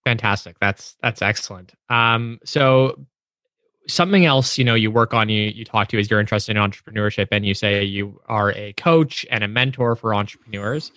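A man's voice: fairly low pitch, normal speed, pushed and bright, dry recording. A man hosting a podcast, asking questions in a friendly, curious way with some stumbles. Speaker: podcast host. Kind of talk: podcast interview.

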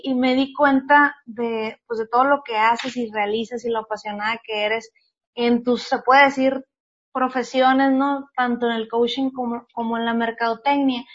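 A woman's voice: high-pitched, highs cut off. A woman in her thirties with a northern Mexican accent, hosting a podcast and asking her guest friendly questions. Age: 30s. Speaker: podcast host. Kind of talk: casual interview.

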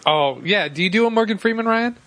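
A man with a fairly high voice in a dry, close recording. He chats casually as a podcast co-host among friends, eager and often doubling back on his own phrases. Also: animated, fairly quick, a bit bright, dry recording.

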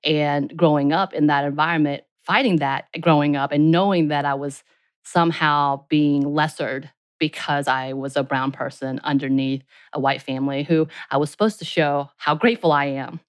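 A woman with a low voice co-hosts a podcast in casual chat. She talks in an excited, rambling way and stumbles over her words now and then. The sound is dry and close. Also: smooth, dry recording. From Asia, raised in the U.S. from age seven. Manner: excited, rambling, apologizes for mumbling. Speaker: podcast co-host.

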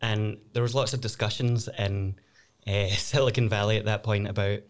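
Glaswegian lawyer explaining things. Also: male voice, on the low side, fairly even intonation, normal speed. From Glasgow, Scotland. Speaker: lawyer and lecturer, guest. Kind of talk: casual chat.

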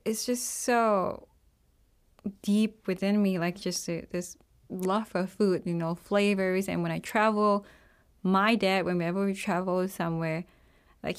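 A young woman from Singapore, talking freely as a guest in a relaxed interview. Her voice sits a little low, and she keeps the words flowing with frequent "like" and "you know". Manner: chatty, many fillers like "like" and "you know". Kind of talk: casual conversational interview.

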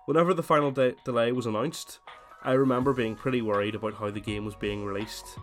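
A man with a cold hosting his gaming podcast, chatting casually and then reading a scripted essay in steady narration. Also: mid-range voice, fast, faint music behind. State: has a cold, voice slightly worn. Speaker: podcast host.

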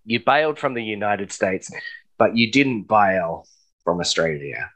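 A man's voice: fairly low pitch, animated, a little slow, a bit bright. A man hosting a casual interview, often repeating words as he gathers his thoughts. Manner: stammering, repeats words while thinking. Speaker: host.

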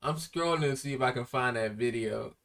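A man's voice: mid-range voice, brisk, creaky, fairly bright tone. A man co-hosting a casual podcast who reacts to clips with bursts of laughter and exclamations. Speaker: podcast co-host. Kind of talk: casual chat.

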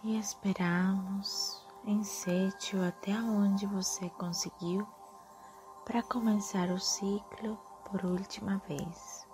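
A woman leading a guided meditation in a soft, soothing, often breathy manner with drawn-out phrases. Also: mid-range voice, fairly even intonation. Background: soft music.

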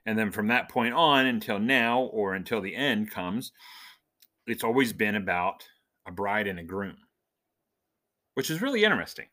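Bright, forceful man teaching the Bible informally. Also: lowish voice, expressive, normal speed, pauses often. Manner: conversational, explanatory, asks questions and answers them. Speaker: podcast host giving Bible teaching. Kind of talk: informal Bible lesson with scripture reading.

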